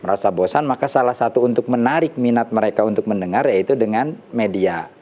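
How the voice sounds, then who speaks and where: Storyteller guest, male, mellow and dark-voiced, measured explainer in a studio chat. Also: on the low side. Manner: measured, explanatory, with frequent restarts and lists. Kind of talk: studio talk show explanation. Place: television studio.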